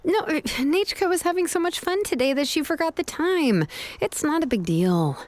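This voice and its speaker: A woman's voice: high-pitched, lively intonation, moderate pace. A woman playing a composed, perceptive young woman in a video game, speaking casually and tactfully. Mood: tactful, covering. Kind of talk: video game character acting. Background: background music.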